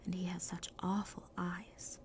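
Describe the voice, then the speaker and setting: A woman voicing a miserable, wound-up young boy in a soft whisper, reading a storybook aloud. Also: fairly low pitch, flat delivery, a little slow, slight background noise, lightly acted.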